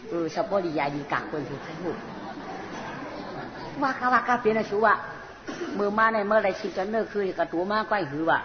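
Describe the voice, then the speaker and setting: A storyteller telling a comic folk tale in an animated way, often repeating short phrases. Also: medium pitch, fairly quick, pauses often. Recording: muffled, noisy recording in a reverberant space.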